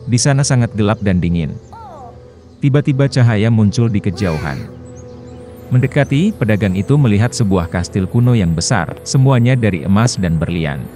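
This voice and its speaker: A man's voice: lowish voice, animated, normal speed. A man narrating a bedtime fairy tale for children, lifting his voice at the start of many phrases. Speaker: storyteller. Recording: soft music underneath.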